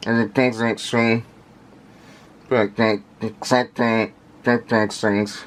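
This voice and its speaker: A man giving casual unscripted product commentary in halting, repetitive fragments, with labored, indistinct articulation from a speech impediment. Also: lowish voice, little pitch movement. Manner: halting, repetitive, fragmented phrasing with effortful delivery. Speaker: vlogger unboxing audio gear.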